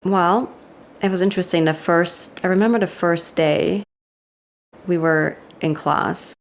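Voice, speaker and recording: Austrian-accented woman guest in her thirties, low gravelly voice, candid and quick to laugh. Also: fairly even intonation, slight background noise. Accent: Austrian German. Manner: candid, laughs often, quick self-deprecating asides. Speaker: guest, commercial director. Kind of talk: casual interview chat.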